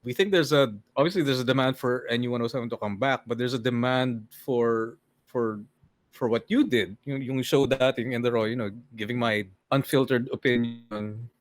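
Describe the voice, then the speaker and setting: An older man with a Filipino accent, a podcast guest talking in a deadpan way and mixing English with Tagalog in casual chat on a video call. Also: medium pitch, a little fast, no room sound. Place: home room on a video call.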